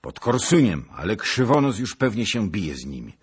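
A man's voice: on the low side, big pitch swings, normal speed, slightly rough, pitched above the performer's own voice, full character voice. A man voicing a panicked servant messenger fleeing with dire news in a historical storybook, with urgent, emphatic delivery. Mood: anxious.

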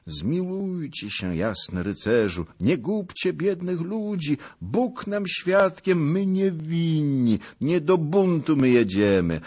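Elderly peasant for historical audiobook, male narrator acting: meek, pleading, obsequious, raised pitch with lively swings. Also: slow, hardly any pauses, slightly rough, full character voice. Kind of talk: dramatised audiobook of historical novel.

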